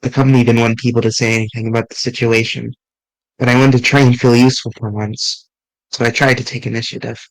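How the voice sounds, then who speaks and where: A man streaming, reading visual novel dialogue aloud in an earnest, halting way with small restarts. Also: mid-range voice, level tone, no echo, low-quality recording.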